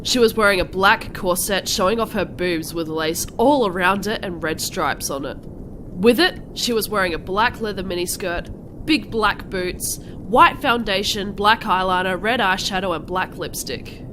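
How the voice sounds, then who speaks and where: A woman's voice: mid-range voice, measured pace, slight background noise. A woman narrating comedic fan fiction dramatically as a melodramatic teenage goth girl. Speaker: narrator and lead voice.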